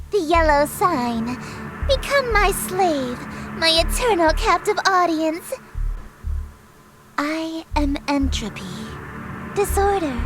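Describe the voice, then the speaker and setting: A woman's voice: sits high, slight background noise. A woman playing a haughty, theatrical eldritch goddess of chaos in a visual novel game, grandiose, imperious and melodramatic. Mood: grand, menacing. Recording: music behind the voice.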